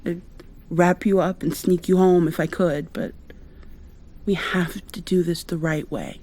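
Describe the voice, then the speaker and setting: A woman playing a devoted, worried partner of a sick loved one, speaking tenderly and haltingly to the listener in an intimate ASMR roleplay. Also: lowish voice, steady tone, mellow. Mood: tender, firm. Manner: tender, soothing, halting and reassuring.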